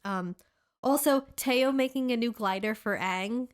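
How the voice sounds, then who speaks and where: A woman's voice: fairly high pitch, measured pace, clear, resonant tone. A woman co-hosting a podcast in casual chat, sounding congested and rambling with lots of fillers. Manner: chatty, rambling with many fillers and restarts. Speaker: podcast co-host.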